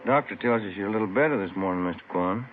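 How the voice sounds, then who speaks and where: Man playing a quick, low-voiced police detective. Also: close to an everyday voice. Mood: businesslike. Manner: terse, matter-of-fact. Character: laconic, businesslike male police detective partner. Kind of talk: 1950s radio detective drama. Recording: tinny, faintly noisy old radio recording.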